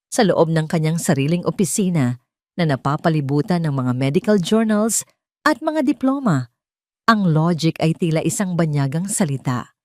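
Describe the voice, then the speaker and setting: Woman narrating drama, even pitch, dry sound. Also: no echo. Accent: Filipino. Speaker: audio story narrator. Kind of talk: scripted dramatic story narration.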